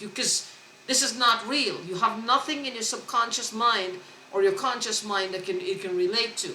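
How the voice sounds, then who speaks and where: A woman talking to camera in a rambling personal monologue, halting, restarting and repeating words, earnest and insistent. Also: on the high side, sharp tone, a little hiss or hum. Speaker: vlogger. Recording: echoey room recording.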